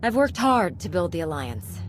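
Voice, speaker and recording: A woman's voice: on the low side, very animated, moderate pace. An actress playing a poised, principled woman commander in a science fiction video game. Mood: sincere, resolute. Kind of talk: video game cutscene acting. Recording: clear music under the voice.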